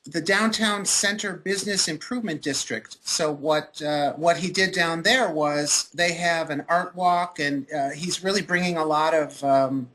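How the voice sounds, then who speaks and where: Man co-host, headset mic, bright tone, easygoing and measured. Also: fairly high pitch, poor audio. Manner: relaxed, measured, many uhs. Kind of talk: casual interview on a video call.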